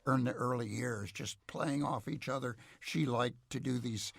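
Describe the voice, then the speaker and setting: A middle-aged or older man speaking as an expert interview guest, reflective and measured, with halting, scholarly phrasing. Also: mid-range voice, vocal fry, slightly rough, dry recording.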